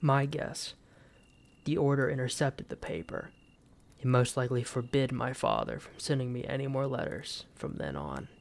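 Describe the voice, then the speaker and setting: A man playing an embittered exiled knight in a fantasy audio drama, speaking formally and solemnly with a gravelly voice and dramatic pauses. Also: medium pitch, expressive. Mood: sorrowful. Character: embittered exiled knight, wounded pride, grieving for his mother. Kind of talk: first-person fantasy roleplay audio drama.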